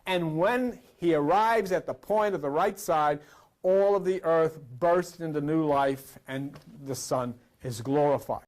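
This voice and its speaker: A man's voice: fairly high pitch, a little slow, darkish tone. A man delivering an explanatory spiritual lecture in a didactic, emphatic manner. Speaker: speaker on esoteric spirituality.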